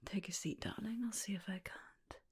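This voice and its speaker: A very breathy, whispered female voice from a woman acting it out plays a doting vampire in an ASMR roleplay. She is gentle and soothing, pausing hesitantly and murmuring reassurance as she gives close personal attention. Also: medium pitch, steady tone. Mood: gentle, reassuring. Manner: soothing, hesitant, repeats reassurances, calls listener darling. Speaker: ASMR performer.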